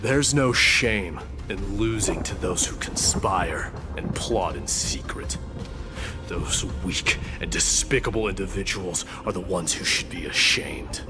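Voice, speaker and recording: Deep, proud male warrior. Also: animated, measured pace, music in the background. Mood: disdainful. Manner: terse, haughty. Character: proud, stoic, gruff warrior prince. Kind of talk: video game voice acting.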